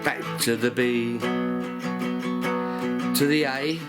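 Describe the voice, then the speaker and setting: A man's voice: mid-range voice, fairly even intonation, slow pace. A man, congested from a cold and a little nasal, casually teaching a song, calling out chords and half singing them while he plays. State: stuffy nose from a cold. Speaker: guitar teacher. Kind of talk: casual music lesson. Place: living room at home. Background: cigar box guitar playing.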